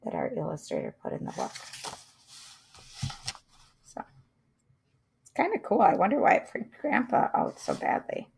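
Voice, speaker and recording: Woman reading a story aloud with creak. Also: medium pitch, wildly swooping pitch, a little mellow, slight background noise. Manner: pauses to comment and wonder aloud to listeners. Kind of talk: read-aloud storytelling with asides.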